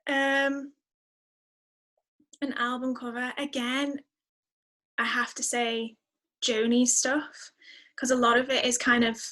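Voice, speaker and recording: A woman's voice: on the high side, level tone, slow pace, long pauses, bright, forceful tone. A woman talking casually as an interview guest, reflective and enthusiastic about music. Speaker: guest singer-songwriter.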